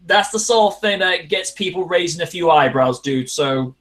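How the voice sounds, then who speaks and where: A man's voice: a little high, lively intonation, normal speed, fairly bright tone. A man hosting a casual online wrestling panel, chatty and laughing, with scolding asides to his co-hosts. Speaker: panel host.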